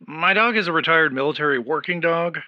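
Woman playing a brisk, low-voiced soldier caller. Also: no room sound, close to an everyday voice. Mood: inquisitive. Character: inquisitive female soldier phoning a credit union. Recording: narrow, band-limited phone-call sound.

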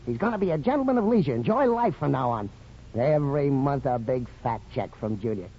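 An actor playing a boyishly earnest, defensive young veteran son with hesitant starts, in a 1950s radio drama. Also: male voice, medium pitch, lively intonation, brisk, husky. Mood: eager. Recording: muffled 1950s radio broadcast.

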